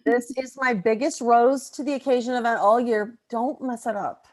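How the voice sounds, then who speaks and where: A woman's voice: a little high, steady tone, moderate pace, close to an everyday voice, rough recording. A woman playing an affectionate, teasing mother in a comedy table read. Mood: earnest. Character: affectionate, teasing working-class mom, loving family matriarch.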